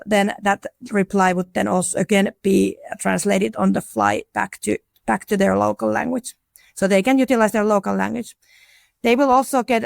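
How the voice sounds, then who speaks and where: Self-assured woman with a gravelly edge. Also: medium pitch, dry recording, clean studio sound. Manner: frank, self-assured, frequent restarts. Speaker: conference panelist. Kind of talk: conference panel talk.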